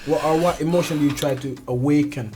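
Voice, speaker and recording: A man's voice: on the high side, fast talker. A man hosting a casual interview, asking questions in loose, slangy, informal phrasing. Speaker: interview host.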